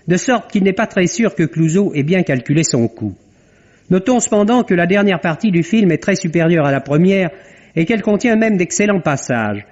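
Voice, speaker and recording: A man delivers a formal, rhetorical film review as a radio critic, in measured phrasing. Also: fairly high pitch, a little mellow. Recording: dull vintage radio archive recording.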